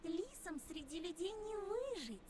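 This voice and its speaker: A teasing high-voiced fox-mask girl. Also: sing-song, normal speed, a little mellow. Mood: scornful, teasing. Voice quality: velvety, melodic. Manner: mocking, playful, languid. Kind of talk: Russian visual novel voice acting.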